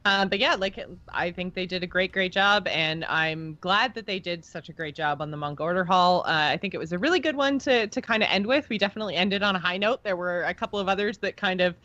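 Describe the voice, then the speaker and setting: A woman's voice: lowish voice, fairly quick, hardly any pauses, bright, forceful tone, no room sound. A woman co-hosting a podcast, chatting informally as a fan about a video game, on a slightly dull recording. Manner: informal, conversational. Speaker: podcast co-host.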